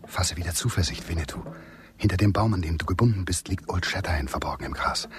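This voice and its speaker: Loyal frontiersman, very low voice, hushed urgent half-whisper, radio drama, prominent music underneath. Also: animated, fast talker. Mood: hushed reassurance. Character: steady, loyal frontiersman hiding, reassuring his blood brother. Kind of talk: German radio drama acting.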